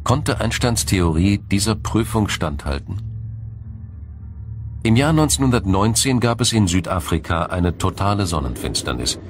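Male narrator, low register, measured and formal documentary delivery, light music bed underneath. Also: very animated. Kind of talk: scripted science documentary narration.

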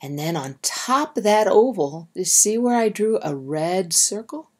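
Unhurried, husky woman reading to children. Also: mid-range voice, expressive, darkish tone. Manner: simple, instructive, addressing children directly. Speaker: storybook reader and drawing guide. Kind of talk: read-aloud to young children.

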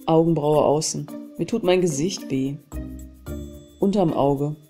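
Low, mellow-voiced woman guiding tapping. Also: unhurried, faint music behind. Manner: calm, repeats phrases and calls out tapping points. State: has a cold. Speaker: self-help channel host. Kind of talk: guided EFT tapping session.

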